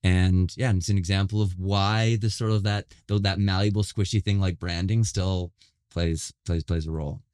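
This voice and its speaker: A man with a very deep, warm, mellow voice lays out his points patiently. He keeps tagging sentences with "right?" as he goes. The recording is close and dry. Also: fairly even intonation, moderate pace, clean tone, dry recording. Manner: explanatory, rhetorical "right?" tags. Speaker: agency executive, guest. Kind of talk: casual panel conversation.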